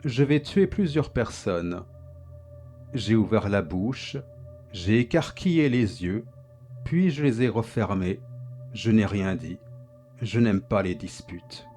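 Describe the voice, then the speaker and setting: Slow-spoken man narrating a dark tale. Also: lowish voice, lively intonation, faint music behind. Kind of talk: scripted first-person dark story narration.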